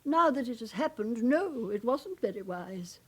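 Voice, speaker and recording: An elderly, well-bred widow in a radio drama. Her voice is high and moves expressively, polite but anxious, with hesitant, wistful phrasing. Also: lively intonation, a little slow, a bit bright, breathy edge, full character voice. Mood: polite, guarded. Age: older. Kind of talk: naturalistic British radio drama acting.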